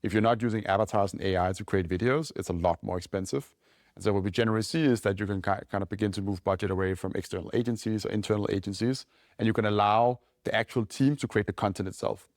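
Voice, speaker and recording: Man, Danish accent, rapid loose speech, lowish pitch, dry close sound. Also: fairly even intonation, dry recording. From Denmark. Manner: quick, loose talk full of "like" and "right?". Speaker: company founder guest. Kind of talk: casual podcast interview.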